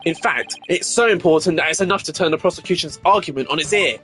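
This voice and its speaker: A man's voice: on the high side, fast, fairly bright tone, lightly acted. A man voicing an earnest, confident male defense attorney in a courtroom game, speaking assertively. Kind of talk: dramatic visual novel courtroom reading. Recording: game music behind the voice.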